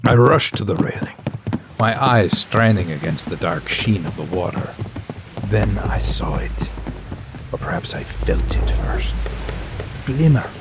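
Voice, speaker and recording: A man as a slow, brooding professor. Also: lowish voice, expressive. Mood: awestruck. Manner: solemn, dramatic, hushed intensity. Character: brooding, awestruck male professor recounting a sea voyage. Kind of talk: dramatic scripted first-person narration. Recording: noisy sea and boat ambience.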